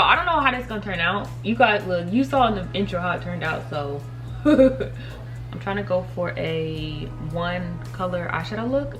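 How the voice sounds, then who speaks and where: A woman's voice: medium pitch, a bit bright. A thirty-year-old woman chatting casually while doing her makeup, giggling often and talking straight to her viewers. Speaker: beauty and faith vlogger. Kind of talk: casual makeup tutorial chat.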